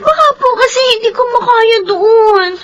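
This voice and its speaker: A woman's voice: extremely high pitch, normal speed, fairly bright tone. An actress playing a timid, fearful schoolgirl daughter in a radio drama, pleading and hesitant. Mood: tearful, anxious. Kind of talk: Filipino radio drama acting.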